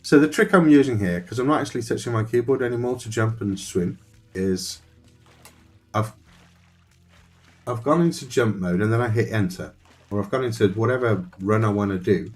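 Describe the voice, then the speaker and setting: Man, low voice, rambling game-stream chatter, faint music underneath. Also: moderate pace, a little mellow. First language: Dutch. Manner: rambling, thinks aloud, talks to chat. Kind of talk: casual live-stream commentary while playing.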